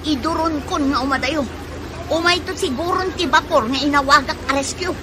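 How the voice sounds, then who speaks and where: A woman's voice: sits high, fairly quick, natural voice. A woman with an Ilocano accent playing a frantic passenger on a sinking ferry in a radio drama, with urgent exclamations. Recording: noisy outdoor sound effects behind.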